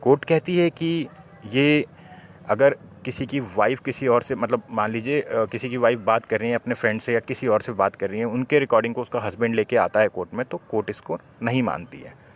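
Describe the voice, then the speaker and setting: Male legal presenter, mid-pitched voice, didactic explanatory delivery. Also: a little fast, slight background noise. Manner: didactic, poses questions then answers them. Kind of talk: explanatory legal talk to viewers.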